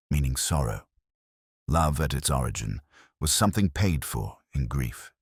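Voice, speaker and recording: Man acting as weary middle-aged detective, extremely low voice, animated intonation, brooding, literary, measured radio drama narration. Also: husky, dry recording, clean studio sound, exaggerated voice. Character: weary, melancholy, reflective middle-aged male police inspector. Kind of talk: first-person scripted detective drama narration.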